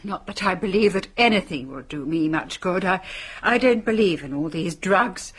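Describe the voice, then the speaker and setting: A prim British housekeeper with guarded curiosity. Her husky voice rises and falls a great deal, with formal, probing delivery in radio play style. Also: lowish voice, lively intonation, normal speed, fairly bright tone. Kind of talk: radio drama acting.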